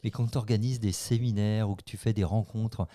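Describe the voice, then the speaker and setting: A man with a warm, mellow voice talks through his thoughts at length, stumbling a little as he builds his point. His delivery feels relaxed and conversational. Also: on the low side, brisk. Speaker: co-host. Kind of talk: casual chat.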